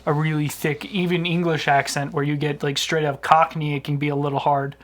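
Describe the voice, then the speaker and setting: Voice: medium pitch, level tone, a little fast. Podcast co-host, casual chat, filler-heavy and loose delivery. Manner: filler-heavy, says "like" a lot.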